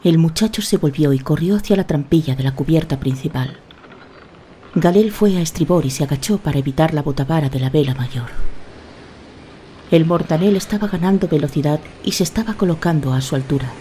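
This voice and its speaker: A woman's voice: low-pitched, fairly quick, mellow, slight background noise. A woman giving scripted, dramatic narration of a fantasy tale. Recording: voice over a prominent music bed.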